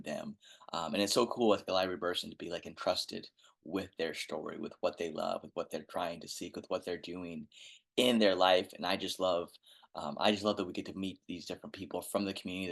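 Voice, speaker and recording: A man with a very low voice talks as a podcast guest. He is quiet but eager, trails off and restarts often, and circles back to his point. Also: vocal fry. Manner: enthusiastic, rambling with many restarts and fillers; says he has a quiet speaking voice. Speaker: guest librarian. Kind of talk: casual podcast interview.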